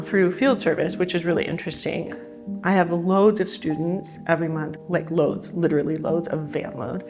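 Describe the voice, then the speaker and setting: A woman from California, a teacher, talking in a chatty, relaxed, upbeat way in an interview. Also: on the low side. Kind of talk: casual interview talk. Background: soft guitar music.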